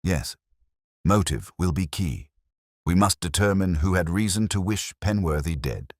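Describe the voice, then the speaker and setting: Male narrator as cool analytical detective, very deep, clipped, formal, probing, audiobook mystery. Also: a little slow, no room sound, studio quality. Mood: measured, analytical.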